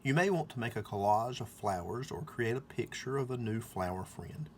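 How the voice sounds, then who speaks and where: A man's voice: medium pitch, expressive, moderate pace, a little hiss or hum. A man reading aloud a children's storybook in a scripted narration. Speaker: storybook reader.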